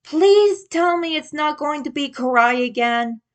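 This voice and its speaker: A woman's voice: high-pitched, expressive, a little slow, voice pushed up. A woman reading the lines of a playful, teasing young friend in a fan story. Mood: skeptical.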